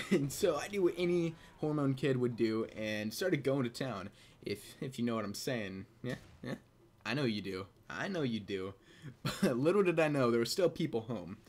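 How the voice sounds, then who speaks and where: A man's voice: mid-range voice, expressive, moderate pace, darkish tone. A young man tells personal stories in a casual, crude, joking way, amused by his own jokes as a video host. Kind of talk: casual comedic personal storytelling.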